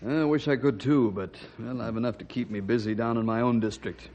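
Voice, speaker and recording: A man portrays an amiable district ranger in a vintage radio play. His medium-pitched voice is plain-spoken and matter-of-fact. The recording is dull and band-limited. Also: fairly even intonation, a little fast, darkish tone, close to an everyday voice. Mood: friendly. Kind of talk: old-time radio drama acting.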